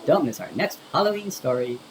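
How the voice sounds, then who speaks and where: A woman reading children's storybooks aloud as an animated narrator, with exaggerated expression and music behind her. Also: sits low, a little fast, very noisy. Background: music and sound effects.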